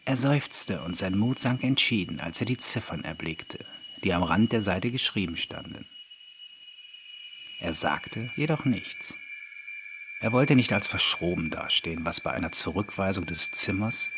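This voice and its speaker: A man's voice: deep voice, lively intonation, unhurried, background noise. A man narrating a scripted horror story as an audiobook reader. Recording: background music under the voice. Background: music.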